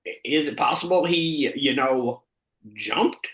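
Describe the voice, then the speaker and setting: A man's voice: mid-range voice, takes it slowly, a bit bright, reverberant, low-quality recording. A man playing an awkward, reasonable family friend, hesitant and placating, in a live comedy script reading.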